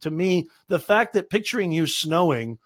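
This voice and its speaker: A man co-hosting a casual live stream, slightly hoarse and raspy from a cold, chatty and joking. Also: on the high side, lively intonation, normal speed, a bit bright, dry recording, studio quality. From Southern California. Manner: joking, chatty, laughs often. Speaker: co-host.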